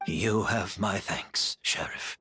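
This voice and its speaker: A man playing a weary, remorseful former criminal in a video game, speaking in a measured, courteous, resigned way with a husky voice. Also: low voice, bright, forceful tone, soft background music, exaggerated voice.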